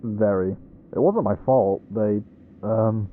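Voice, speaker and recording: A man's voice: fairly low pitch, takes it slowly, narrow, tinny audio, a little hiss or hum. A man playing a cocky, defensive ghost hunter in an audio roleplay, talking in a wry, sweary, conversational way. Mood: wry, uneasy. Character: Reggie, cocky defensive male ghost hunter, quick with excuses.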